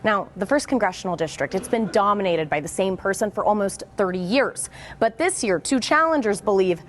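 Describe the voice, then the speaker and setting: A woman's voice: mid-range voice, animated, fairly quick, slight background noise. A woman with an American accent working as a television news reporter, delivering scripted narration and interview questions.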